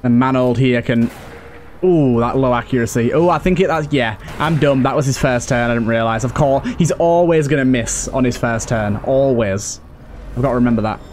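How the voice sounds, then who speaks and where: A man's voice: medium pitch, moderate pace, darkish tone, slight background noise. A man giving casual, informal let's play commentary, thinking aloud with some mild swearing. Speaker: gaming video host.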